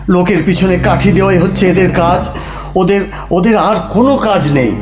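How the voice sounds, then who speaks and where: A man's voice: sits high, reverberant. An actor playing a mocking, argumentative husband who needles his wife in a theatrical comic audio play. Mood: indignant.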